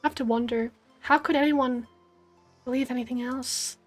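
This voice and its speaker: A hoarse young woman with an Australian accent, reading aloud as a bitter, vengeful ghost woman in a slow, quiet, brooding way. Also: high-pitched, steady tone, soft background music. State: hoarse, losing her voice.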